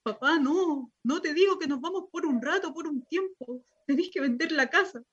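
A woman's voice: sits high, normal speed, fairly bright tone. A woman with a Chilean accent playing an earnest, insistent, distraught daughter protecting her sister in a radio drama. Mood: urgent pleading. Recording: dull, lo-fi sound.

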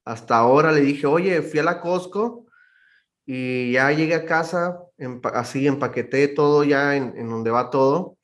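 A man's voice: on the high side, unhurried. A man with a northern Mexican accent, a podcast guest telling stories in a slangy, laid-back casual chat.

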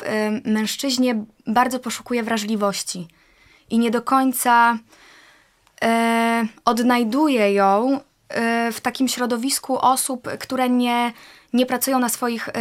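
Chatty, reflective woman with a higher voice. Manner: talkative, reflective, many fillers. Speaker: interview guest, actress and singer. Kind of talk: casual interview conversation.

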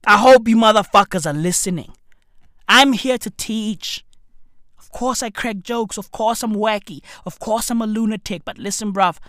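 A comedian from Africa, delivering an emphatic, repetitive rant to camera, heavy with swearing and dropping at times to a whisper. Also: male voice, high-pitched, sharp tone, dry recording, studio quality. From South Africa. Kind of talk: ranting monologue to camera.

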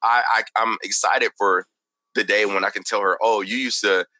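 A man's voice: on the low side, steady tone, normal speed, sharp tone. A young man from Texas, a podcast guest chatting casually and filling his talk with "like" and "you know.". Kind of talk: casual conversation.

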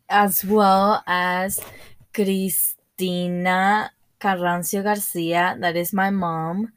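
Slow, careful teenage girl. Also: on the low side, no room sound. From Spain, raised in Ecuador. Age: teens. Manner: slow, careful, searching for English words. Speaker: interviewee. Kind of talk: school podcast interview.

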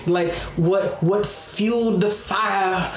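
High-voiced playful young co-host. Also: male voice, steady tone, slow. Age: 20s. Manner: playful, mock-preachy questioning. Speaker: podcast co-host. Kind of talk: casual chat. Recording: echoey room with background noise.

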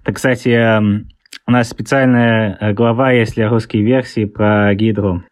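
A man in his thirties with an English-tinged Russian accent, a guest author answering interview questions in a halting way, often pausing to search for words. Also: fairly low pitch, steady tone, no room sound, studio quality. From born in Russia, raised in England. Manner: hesitant, searches for words, many fillers.

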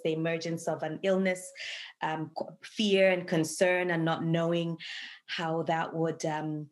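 A woman in her fifties from Tanzania, a psychologist answering interview questions thoughtfully, with many pauses and restarts. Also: on the low side. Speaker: guest psychologist.

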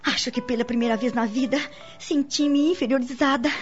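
A woman's voice: high voice, steady tone, a little fast, close to an everyday voice. A woman with a Brazilian accent playing an anxious, insecure wife in a radio soap opera, earnest and formal in her melodramatic delivery. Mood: anguished confession. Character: anxious, insecure young wife and mother, pleading. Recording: dull lo-fi radio drama, music underneath.

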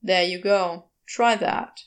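A woman's voice: on the low side, steady tone, slow pace, pitched below the performer's own voice. A woman voicing a grumbling, sardonic male demon in a velociraptor's body, rambling and exasperated, in a storybook reading. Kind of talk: storybook character voice in audio fiction.

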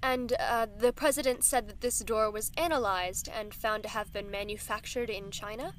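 A woman's voice: on the high side, fairly even intonation, moderate pace, slight background noise, close to an everyday voice. An actress playing a persistent female reporter who questions an official in a probing, skeptical way. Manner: probing, skeptical, hesitant fillers. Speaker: journalist interviewer. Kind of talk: audio drama acting.

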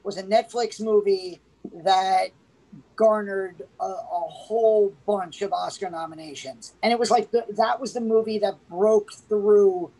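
A woman's voice: medium pitch, steady tone, a little slow, mellow, rough recording. A woman co-hosting a casual movie review, talking hesitantly with many restarts. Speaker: podcast co-host.

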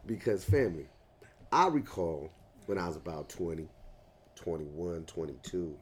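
Hoarse deep-voiced man, profane and boisterous. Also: moderate pace. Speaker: podcast host. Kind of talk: casual podcast chat.

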